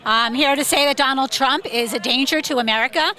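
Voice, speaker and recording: A woman protester outdoors stating her position forcefully in a list of points. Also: high-pitched, fairly quick. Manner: forceful, declarative.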